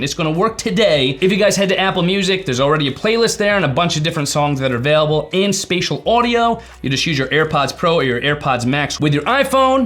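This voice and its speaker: A man's voice: a little high, a bit bright. A man hosting a tech video, explaining things casually and informally to viewers, leaning on key words for emphasis. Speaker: tech reviewer and video host.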